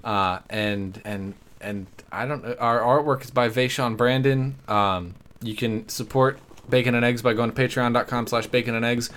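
Guy hosting a podcast, normal pitch, jokey and kinda rambly, casual chat. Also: fairly bright tone. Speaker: podcast host.

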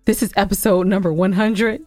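A woman's voice: medium pitch, fairly even intonation, normal speed, a little mellow, no echo, faint music behind. An American woman co-hosting a podcast in casual, unscripted banter, chatty and informal. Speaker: podcast co-host.

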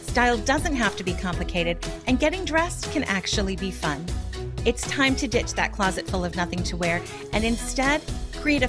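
A middle-aged woman hosting a style podcast in a friendly, chatty way, her voice a little scratchy from a recent cold. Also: medium pitch. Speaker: podcast host, stylist. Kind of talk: scripted podcast talk.

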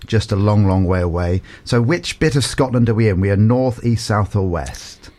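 Lively chatty male radio host. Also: fairly low pitch, normal speed. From Portsmouth, England. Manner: upbeat, chatty, rambling. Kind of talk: local radio chat.

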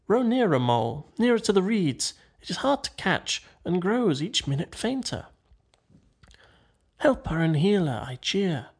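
A man voicing a poetic water rat in a storybook, murmuring in a dreamy, languid way. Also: fairly high pitch. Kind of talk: storybook character dialogue.

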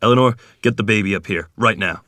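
A man's voice: on the low side, fast talker, sharp tone, no room sound, clean studio sound. A man playing a gritty, determined 1920s river ferryman in a screenplay table read, terse and commanding. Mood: alarmed, urgent.

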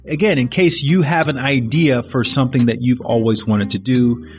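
Man, podcast host, earnest, reflective, middle pitch, faint guitar behind. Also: moderate pace. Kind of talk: podcast intro and interview.

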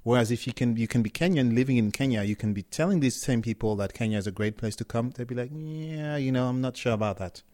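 A man's voice: medium pitch, fast, few pauses, warm tone, no echo, studio quality. A man from Cameroon, a startup founder, answering interview questions candidly with hesitant restarts.

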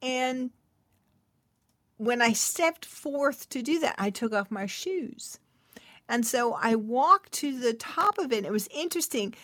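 Slow, soft-spoken woman telling a testimony. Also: fairly high pitch, dry recording, studio quality. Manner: soft, intimate storytelling with laughs. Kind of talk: testimony sermon.

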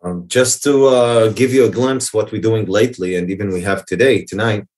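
A man chatting casually as an interview guest, halting and repeating his phrases. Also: on the low side, a little mellow. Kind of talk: casual chat.

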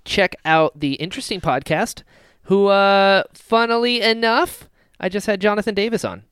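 A man's voice: high voice, moderate pace, fairly bright tone, clean tone, no echo, clean studio sound. A man co-hosting a casual fan podcast with jokey banter. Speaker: podcast co-host.

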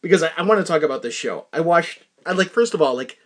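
Male podcast host, high-pitched voice, casual rambling solo talk, animated delivery with repeated words for comic emphasis. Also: quick pace.

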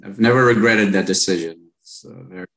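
A man in his late thirties from Israel with an Israeli accent, telling his story as an interview guest in a relaxed way with many fillers. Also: lowish voice, a little fast, poor audio. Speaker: company founder and guest. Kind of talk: casual interview chat.

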